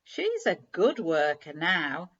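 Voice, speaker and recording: A kindly old storybook man, read by a woman, explains gently and without hurry. The recording is a little dull. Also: on the low side, huge pitch swings, takes it slowly, exaggerated voice. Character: kindly old man talking to his dog. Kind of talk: children's storybook read-aloud.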